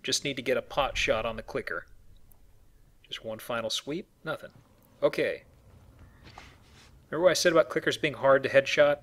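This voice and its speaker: A man's voice: medium pitch, normal speed, long pauses, a bit bright. Casual man commentating on a video game. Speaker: video game player and host. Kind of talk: casual let's play commentary. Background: video game sounds.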